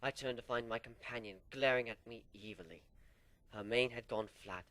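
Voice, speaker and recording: A man narrating a fan story in the first person as an amateur dramatic reading, animated and sinking low for suspense. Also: moderate pace. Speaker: story reader.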